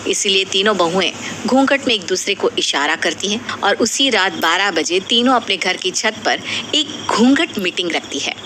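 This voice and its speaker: A woman's voice: mid-range voice, lively intonation, normal speed. A woman reading a scripted moral story as the storyteller. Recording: clearly noisy background ambience.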